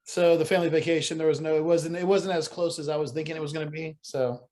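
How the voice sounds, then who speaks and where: A man's voice: fairly high pitch, fairly even intonation, fast talker, a little mellow. A man co-hosting a podcast, chatting casually and rambling, with many restarts and fillers. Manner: rambling, lots of restarts and "you know".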